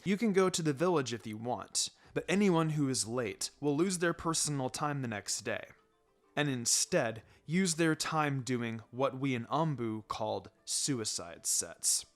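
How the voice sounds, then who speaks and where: A man voicing a stern ninja teacher who gives instructions in a measured, pleasant, faintly menacing way. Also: mid-range voice, lively intonation, pitched below the performer's own voice, close to an everyday voice. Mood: calm, instructing. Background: background music.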